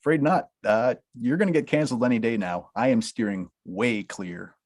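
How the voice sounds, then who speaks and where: Brief: male actor as a crude, laughing agent, middle-pitched voice. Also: normal speed, a little mellow, natural voice. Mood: mocking. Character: blunt, mocking male Hollywood agent. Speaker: actor at a table read. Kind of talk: comedic table read.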